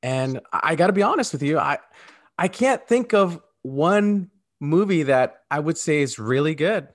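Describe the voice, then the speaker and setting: A man's voice: a little high, animated, moderate pace. A man co-hosting a podcast in casual, opinionated chat, emphatic and repeating phrases for stress. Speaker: podcast co-host.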